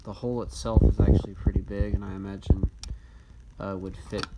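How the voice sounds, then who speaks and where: A man giving a casual, unscripted hands-on walkthrough of a gadget, speaking in a halting way with frequent ums. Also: sits low, flat delivery. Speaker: presenter of a radio disassembly video. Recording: noisy recording with handling clicks.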